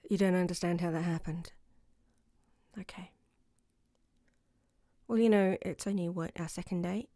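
A woman's voice: on the low side, steady tone, moderate pace, warm tone, no room sound, clean studio sound, close to an everyday voice. A woman playing a playful, affectionate girlfriend in an intimate ASMR roleplay, with a teasing manner. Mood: teasing, modest. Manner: teasing, giggly, sometimes dropping to a whisper. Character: playful affectionate new girlfriend, proud martial arts instructor. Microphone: close microphone in a dry room.

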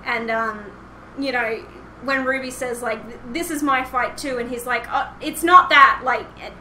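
A young woman with a fairly high voice chats casually as a podcast host. She rambles and hesitates through her points. The room echoes clearly and there is obvious background noise. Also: steady tone, moderate pace, bright, forceful tone. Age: young adult. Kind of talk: casual chat, fan discussion. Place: small home room indoors, online call. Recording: roomy, noisy headset recording.

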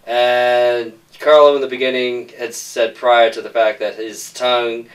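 A man's voice: medium pitch, level tone, measured pace. A middle-aged man explaining a film plot in conversation as its screenwriter. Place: indoors on a sofa, group interview. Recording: distant mic with background noise.

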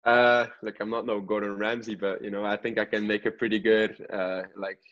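A man's voice: lowish voice, flat delivery, moderate pace, a bit bright, muffled recording. A man in his twenties, a pro soccer player, chatting casually as an interview guest. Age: 20s. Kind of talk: casual podcast interview chat.

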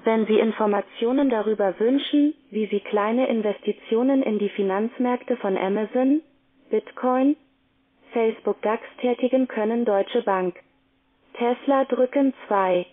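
A woman's voice: fairly high pitch, unhurried. A woman delivering a scripted sales announcement as an automated caller over the phone. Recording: tinny phone line recording.

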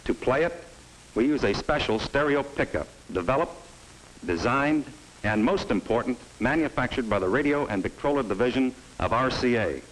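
A middle-aged man presenting a vintage promotional film in a studio, giving a measured, emphatic sales pitch. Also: animated, low-quality recording. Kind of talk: scripted promotional narration. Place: studio set. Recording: old film soundtrack with faint music.

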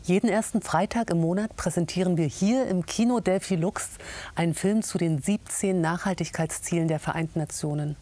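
A woman in her forties introducing a film series to camera in an empty cinema, speaking in a measured, clear presenting manner. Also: lowish voice, dark tone.

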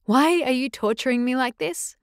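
A woman's voice: on the high side, moderate pace, darkish tone, dry recording, clean studio sound, close to an everyday voice. A woman voicing a heartbroken young female werewolf who pleads and lashes out in tearful outbursts, in an audiobook. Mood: sobbing. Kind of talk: audiobook character voice.

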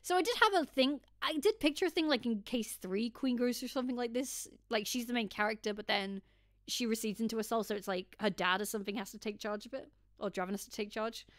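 A woman's voice: fairly high pitch, quick pace, no echo, clean studio sound. A woman streaming a casual let's play, rambling and throwing in reactive asides as game music plays behind her. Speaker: streamer reading all characters.

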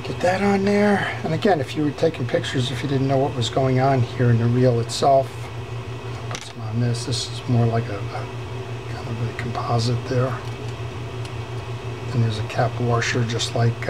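Man, repair tutor at a workshop bench, mid-pitched, unhurried, fillers and restarts; camera mic picks up noticeable background noise. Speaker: fishing reel repairer. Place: workbench in a workshop.

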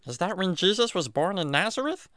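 A woman's voice: lowish voice, sing-song, a little fast, a bit bright, no echo, studio quality. A woman voicing a naive, curious, childlike talking animal in a children's radio drama, with an innocent, earnest manner. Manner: innocent, earnest, baby-talk phrasing.

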